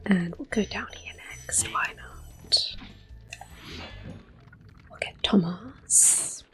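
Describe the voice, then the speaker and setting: A woman giving gentle, mostly whispered ASMR commentary as she hosts a video game playthrough. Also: mid-range voice, extremely slow. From Europe. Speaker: host of a gameplay series. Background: quiet game music and controller clicks.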